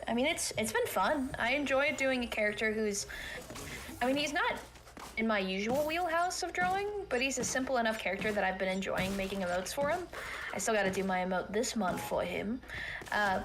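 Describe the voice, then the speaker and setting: A young woman with a youthful, babyish voice, chatting casually as a stream co-host. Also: a little high. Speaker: stream co-host and emote artist. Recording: music behind the voice.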